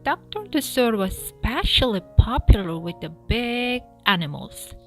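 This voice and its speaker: A woman narrating a children's storybook aloud in an expressive style, with music behind her. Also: on the high side, measured pace. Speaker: storybook reader. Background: background music.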